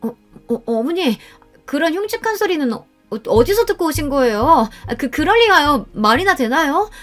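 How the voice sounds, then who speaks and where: A woman's voice: sits high, very animated, moderate pace, fairly bright tone, faint music behind, voice pushed up. A woman voicing a panicked young daughter-in-law who stammers and pleads in a radio-style story. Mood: flustered denial.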